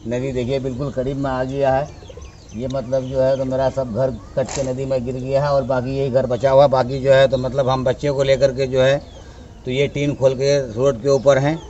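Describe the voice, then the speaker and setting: A man talks plainly, using filler words, while standing outdoors by a river. His voice is flat and monotone. The recording sounds dull, with faint outdoor ambience. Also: medium pitch, normal speed, slight background noise. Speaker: flood victim. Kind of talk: casual on-site interview.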